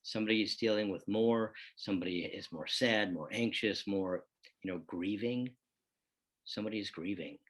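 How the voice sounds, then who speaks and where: A lowish male voice, a man acting as an earnest, self-disciplined fellow in a documentary play. He is measured and reflective, building his point through repeated phrases. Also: lightly acted. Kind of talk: documentary theater monologue.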